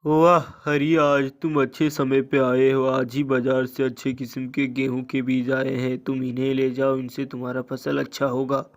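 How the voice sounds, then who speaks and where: A man plays a village shopkeeper in a cartoon moral tale. His voice sits at a middle pitch and he speaks bluntly, like a practical trader. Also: level tone, normal speed, few pauses, lightly acted. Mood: salesmanlike. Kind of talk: Hindi moral-story cartoon dubbing.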